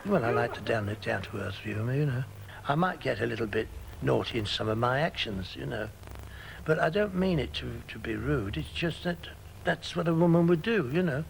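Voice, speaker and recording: Older male performer, gravelly, warm reminiscing interviewee. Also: medium pitch, animated, normal speed, slight background noise, poor audio. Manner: fond, reminiscing, peppered with "you know". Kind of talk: reflective interview.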